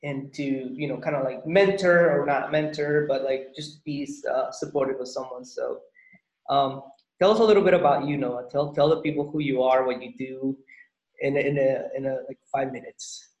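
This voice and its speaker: A man's voice: mid-range voice, moderate pace, a little mellow. A man hosting a podcast, talking casually and rambling, often repeating his words. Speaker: podcast host. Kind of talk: casual interview chat. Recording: echoey, dull-sounding room.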